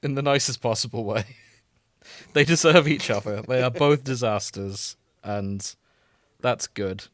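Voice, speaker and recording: Man, podcast co-host, casual comedic chat, wry delivery, medium pitch, dry close sound. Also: unhurried, dry recording. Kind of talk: casual comedic chat about fan fiction.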